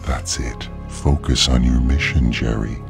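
Sinister virtual game guide, bottomless bass, gravelly fry, cold commands, audio drama, music underneath. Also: male voice, fairly even intonation, measured pace, heavily acted. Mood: cold, commanding. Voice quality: gravelly, very deep. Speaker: actor.

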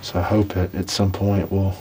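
A man's voice: deep voice, level tone, moderate pace, dark tone, slight background noise, low-quality recording. A young man hosting a hunting show, talking his plan through in a plainspoken, easygoing way from inside a small blind. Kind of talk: casual on-camera hunting talk.

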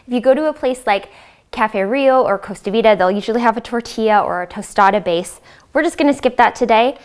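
A woman in her thirties with an American accent, casually explaining a recipe step by step to camera as a cooking host. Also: medium pitch. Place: home-style kitchen, indoors. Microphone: lapel mic.

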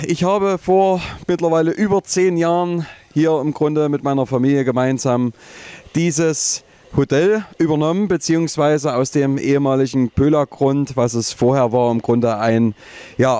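A man's voice: medium pitch, warm tone, dry recording. Male hotel owner, thirties, informal presenter, hesitant and wordy delivery. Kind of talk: informal promotional presentation to camera. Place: covered outdoor terrace beside model railway. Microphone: handheld microphone with foam windscreen.

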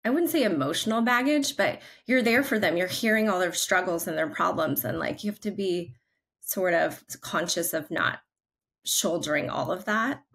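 A woman in her forties speaks on a video call from her living room, her voice bright and forward. She talks in an upbeat, chatty way, full of loose fillers as she explains her ideas. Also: fairly low pitch, expressive, normal speed. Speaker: guest, creative brand leader. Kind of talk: casual video-call interview. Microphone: video call, laptop camera.

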